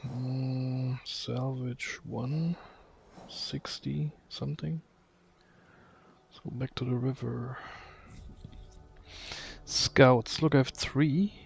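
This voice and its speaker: A man's voice: mid-range voice, steady tone, drawn-out words, creaky, pauses often. A man giving casual live video game commentary, muttering to himself as he plays.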